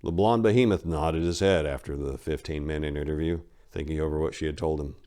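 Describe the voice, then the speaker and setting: A man's voice: extremely low pitch, fairly even intonation, fairly quick, dark tone. A man narrating a crime story as a dramatic reading.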